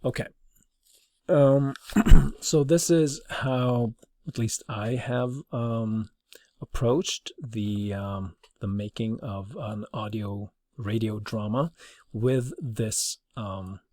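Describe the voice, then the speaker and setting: A man giving an informal tutorial as a teacher, explaining in a halting way. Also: mid-range voice, mellow, dry recording. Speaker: teacher demonstrating audio production.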